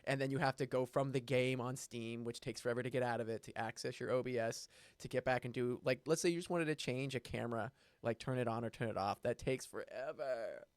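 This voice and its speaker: A man hosting a casual interview, upbeat and quick to laugh. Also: medium pitch, steady tone, dry recording. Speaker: host.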